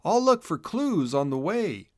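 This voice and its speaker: A man voicing an excitable, curious young boy hedgehog in a children's storybook, eager and exclaiming. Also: high voice, unhurried, no echo, clean studio sound, pitched above the performer's own voice.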